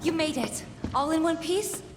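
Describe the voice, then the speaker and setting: A woman's voice: very high voice, normal speed. A woman playing a sweet, playful, teasing young woman in a video game cutscene. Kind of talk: cinematic video game acting. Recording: echoey reverberant space with ambient noise.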